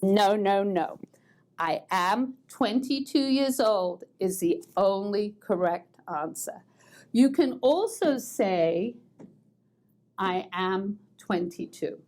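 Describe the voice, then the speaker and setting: An American woman teaching an online English grammar lesson, patient and methodical, repeating words slowly so learners can drill along. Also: mid-range voice, animated, a little mellow. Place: language school in Boston.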